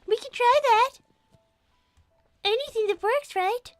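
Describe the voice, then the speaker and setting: Cartoon bunny girl, extremely high voice, prim and formal, excitable, easily indignant. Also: slow pace, no room sound, clean studio sound, soft background music. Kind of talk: live in-character improv reading chat messages.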